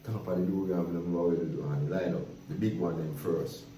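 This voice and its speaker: A man with a Jamaican accent telling lively, laughing stories in a casual chat. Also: sits low, fairly quick, noisy background. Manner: lively, laughing, animated storytelling in patois. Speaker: guest storyteller. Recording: roomy echo from a distance.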